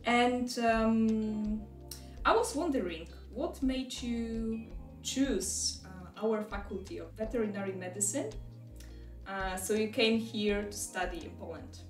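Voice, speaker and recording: A woman's voice: mid-range voice, slow, reverberant, far from the mic, soft background music. A woman hosting a university interview, friendly and asking questions with hesitant fillers. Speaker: interviewer, university recruitment host.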